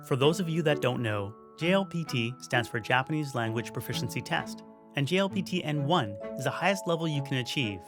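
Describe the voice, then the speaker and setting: A man narrating a documentary in an even, explanatory way. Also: mid-range voice, a little fast, over music. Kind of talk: scripted narration.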